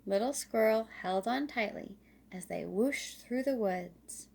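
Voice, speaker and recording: A woman narrates a children's storybook at a slow, deliberate pace. Her delivery is expressive, with a noticeable creak in the voice. She reads as a storyteller for young listeners. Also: fairly high pitch.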